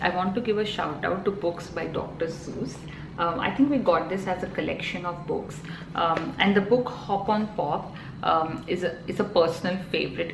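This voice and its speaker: A woman in her thirties with an Indian accent talks casually to camera, filling pauses with ums and you-knows. Her voice sits a little low. The room is echoey, with a faint hum of ambience behind her. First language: Telugu. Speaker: parenting vlogger.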